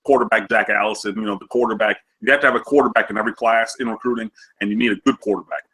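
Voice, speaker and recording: Guy with a cold talking recruits, nasal, kinda low, lots of um and you know. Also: steady tone, racing, bright, forceful tone, breathy edge. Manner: chatty, many fillers, enthusiastic. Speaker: recruiting analyst guest. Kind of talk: casual sports recruiting talk.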